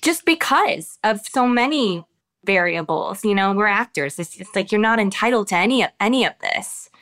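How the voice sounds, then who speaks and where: An American woman, an actress with children of her own, giving reflective interview answers with many pauses and restarts. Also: medium pitch, animated, fairly bright tone. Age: adult with children. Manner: thoughtful, halting, many restarts and fillers.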